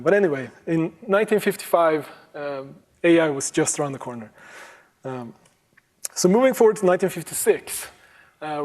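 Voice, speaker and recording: Casual, halting man giving a tech talk. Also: fairly high pitch. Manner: relaxed, many ums and restarts, chuckles now and then. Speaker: conference speaker. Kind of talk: casual conference talk on programming history.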